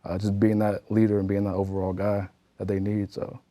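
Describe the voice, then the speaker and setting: A man's voice: on the low side, completely flat delivery, fairly quick, mellow. A young man from Florida, a college basketball player answering interview questions in a polite, composed way. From Florida, USA. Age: young adult.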